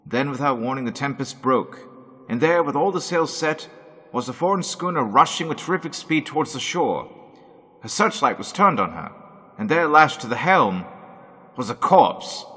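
A man narrating a radio drama in a slow, ominous storytelling manner. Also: medium pitch, sharp tone, slightly rough, big-room sound.

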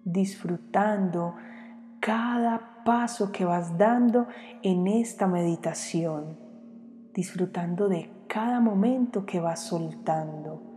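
A woman leads a guided meditation in a calm, soothing manner, leaving long pauses between short phrases. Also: lowish voice. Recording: long reverb, music behind. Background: music.

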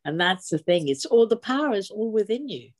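A chatty woman, a guest describing the online spiritual group she leads. She talks in an effusive, flowing manner, often breaking into laughter. Her pitch sits in an ordinary middle range. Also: big pitch swings, a little fast. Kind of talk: casual video chat interview.

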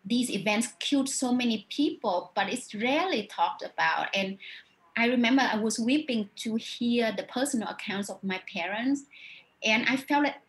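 A woman with a Vietnamese accent answers interview questions in a fairly high voice. She speaks thoughtfully, circling back with restarts and "you know" as she gathers her words. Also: moderate pace, poor audio. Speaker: author.

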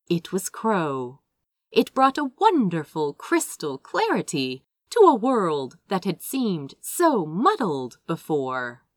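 A woman reading a story aloud as an audiobook, with expressive, theatrical scripted narration. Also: fairly low pitch, no room sound, studio quality. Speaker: audiobook reader.

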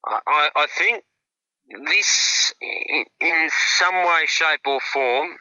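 A man with an Australian accent giving relaxed, chatty football predictions on a radio show, with fillers and restarts. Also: fairly high pitch, slow pace, clear, resonant tone, dry recording, lo-fi sound. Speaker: football pundit, regular guest. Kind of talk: casual radio sports analysis.

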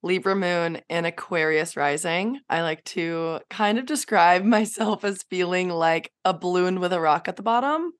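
A woman whose voice is raspy from allergies, chatting in a relaxed, rambling way on a podcast. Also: fairly low pitch, moderate pace, few pauses. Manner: relaxed, rambling, laughs mid-sentence. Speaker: podcast co-host and guest. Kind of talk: casual chat.

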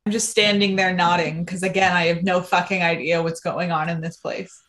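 A woman's voice: fairly low pitch, flat delivery, a little fast, a bit bright. A woman talking casually as a player in a tabletop game. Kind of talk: casual chat.

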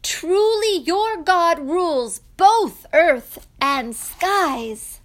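A woman's voice: extremely high pitch, lively intonation, very slow, pitched above the performer's own voice, heavily acted. A woman voicing a proud, emotional ancient king in a children's story, with emphatic, declamatory delivery. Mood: amazed, joyful. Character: proud male ancient king, emotional, devoted to his friend.

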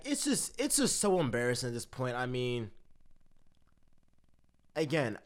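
High-voiced man ranting, strained voice. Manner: heated, repetitive, exasperated ranting. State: voice strained from cheering. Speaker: sports fan. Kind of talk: ranting commentary to camera.

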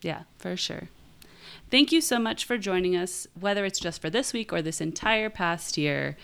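A woman's voice: lowish voice, animated, normal speed, creaky, fairly bright tone, clear, resonant tone. A woman co-hosting a writing podcast in a casual, conversational style. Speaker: podcast co-host, writing teacher.

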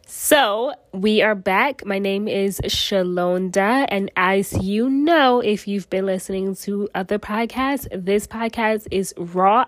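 A woman's voice: mid-range voice, no echo. A young woman hosting a solo podcast, sounding nasal from a cold and speaking earnestly in short phrases into her phone. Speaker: podcast host.

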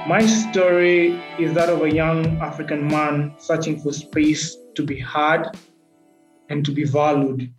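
A man's voice: a little high, flat delivery, soft background music. Earnest young man in an echoey room. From Kenya. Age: 20s. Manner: earnest, reflective, measured. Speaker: podcast guest. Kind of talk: personal storytelling in an interview. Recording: echoey, dull lo-fi recording.

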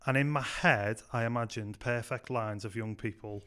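A man's voice: medium pitch, moderate pace. A man with a Scouse accent from Liverpool, a youth pastor telling stories in a casual podcast chat with many ums. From Liverpool, England. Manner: chatty, frequent "um", animated anecdotes. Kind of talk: casual podcast interview storytelling.

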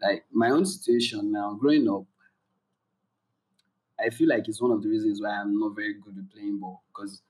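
A young man, chatting casually and telling stories in a relaxed way, laughing along. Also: fairly low pitch, moderate pace, long pauses, far from the mic, rough recording. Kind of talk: casual chat.

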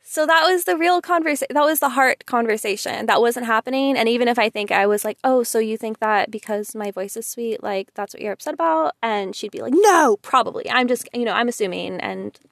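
A woman's voice: on the high side, fairly quick, creaky, hardly any pauses, a bit bright, dry recording, studio quality. A young woman with a sweet voice venting casually to listeners, chatty and emphatic, full of "like" and "you know". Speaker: vlogger.

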